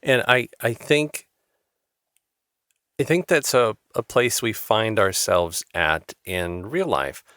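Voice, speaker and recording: A man's voice: fairly low pitch, animated, takes it slowly, a bit bright, no room sound. A man hosting an interview, talking in an earnest, rambling way and often repeating words. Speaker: interviewer, host. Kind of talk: conversational interview.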